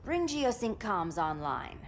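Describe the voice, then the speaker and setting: A woman's voice: mid-range voice, big pitch swings, slow pace, very noisy. A woman playing a self-assured antihero in a video game, cool, dry and teasing. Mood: cool, efficient. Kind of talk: video game cutscene acting. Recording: loud game soundtrack behind.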